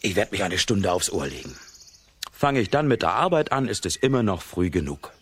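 A man's voice: low-pitched, sing-song, a little fast, fairly bright tone, breathy edge, heavily acted. A young man playing a lazy, food-loving farm lad, leisurely and self-satisfied, in a children's radio play. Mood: contented, drowsy. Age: young adult. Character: lazy, food-loving seventeen-year-old Russian farm lad, easygoing.

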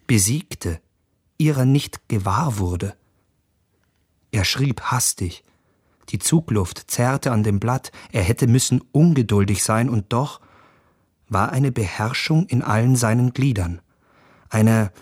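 An earnest young foreigner in a literary radio drama. The voice is medium in pitch with a husky grain, intent and absorbed. The recording is close and dry. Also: male voice, darkish tone, dry recording.

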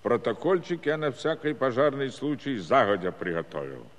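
Genial Cossack official, male actor, mid-pitched lively voice, folksy and businesslike, reverberant radio-play sound. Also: moderate pace, natural voice. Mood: brisk, satisfied. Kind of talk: musical radio-play acting.

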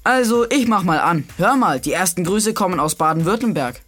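A man's voice: sits high, expressive, a little fast, fairly bright tone. A man co-hosting a kids' radio show with scripted, teasing banter. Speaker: radio show co-host for kids.

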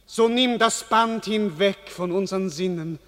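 A man's voice: sits high, unhurried, fairly bright tone. An actor playing a king in a classic radio drama, proclaiming solemnly to his people. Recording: long reverb, as in a cathedral.

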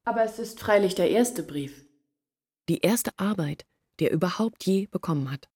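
A breathy confiding village woman. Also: medium pitch, expressive, normal speed, a little mellow, no echo, clean studio sound. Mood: earnest, confiding. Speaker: Olga, a village woman telling her family's story. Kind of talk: German radio play monologue.

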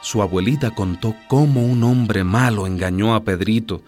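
An expressive storyteller narrating a scripted radio drama. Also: medium pitch, moderate pace. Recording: music behind the voice.

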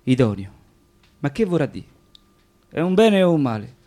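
An actor playing a naive, plain-spoken Roman electrician of thirty held prisoner, in a radio play with a Roman accent. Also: male voice, a little high, sing-song, moderate pace, dark tone. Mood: puzzled, naive. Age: 30s. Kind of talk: radio theatre monologue.

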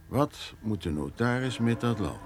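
A man's voice: mid-range voice, lively intonation, unhurried, slight background noise, natural voice. An actor playing an older, suspicious and wry countryman father in a radio play, speaking in a pondering way. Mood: wry suspicion.

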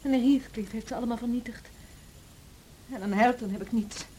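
A woman's voice: on the high side, fairly quick, mellow, slight background noise, close to an everyday voice. An actress playing a bitter, lonely young woman, scornful and defiant, in a radio drama. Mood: bitter, wistful.

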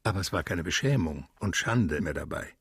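A man with a rough, husky voice narrating a literary radio drama. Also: sits low, lively intonation, brisk, fairly bright tone, no room sound, clean studio sound.

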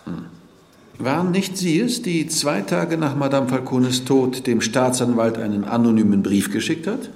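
An actor playing a pointed, skeptical court examiner in a radio drama. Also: male voice, mid-range voice, normal speed, darkish tone, roomy sound, lightly acted. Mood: probing, skeptical. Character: probing male court examiner questioning a witness.

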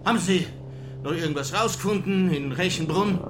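An actor playing a blustering middle-aged police chief who scolds indignantly in a radio crime drama. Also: male voice, high voice, moderate pace, noisy background, lightly acted. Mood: curt. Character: irascible middle-aged male police chief, easily driven to rage.

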